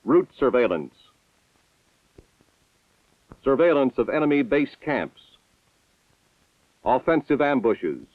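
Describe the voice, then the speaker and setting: A man's voice: mid-range voice, big pitch swings, no room sound. Man narrating a 1960s military film. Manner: measured, formal military delivery. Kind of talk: scripted documentary narration. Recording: tinny lo-fi 1960s film soundtrack.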